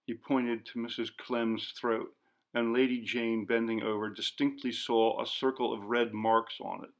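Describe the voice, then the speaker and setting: A man narrating a literary ghost story as an audiobook, in a measured, dramatic manner. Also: medium pitch, level tone, vocal fry.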